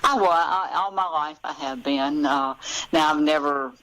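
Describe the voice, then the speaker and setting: A woman with a Southern American accent answering interview questions as a novelist, chatting casually and thinking aloud in short, halting phrases. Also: deep voice, a bit bright, low-quality recording. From Memphis, southern United States.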